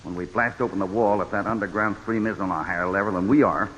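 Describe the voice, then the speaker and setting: Male actor as resolute cowboy hero, American, lowish voice, firm and authoritative, radio drama acting, reverberant old recording. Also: steady tone, fast talker, fairly bright tone, a little hiss or hum, close to an everyday voice. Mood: decisive. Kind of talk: 1940s-50s radio western acting.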